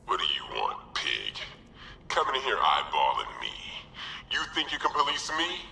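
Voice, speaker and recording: An actor playing a hulking, boastful, hostile brute in a video game, gravelly and belligerent. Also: male voice, deep voice, wildly swooping pitch, normal speed, sharp tone, slight background noise, full character voice. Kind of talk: video game villain acting. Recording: long echoing hall reverb.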